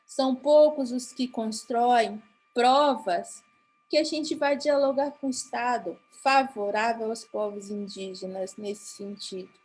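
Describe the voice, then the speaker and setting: A woman from Mato Grosso do Sul, Brazil, with a Brazilian Portuguese accent and Guarani as her first language, giving a formal conference talk. She speaks earnestly and haltingly, often restarting her phrases. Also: fairly high pitch, darkish tone, breathy edge, low-quality recording.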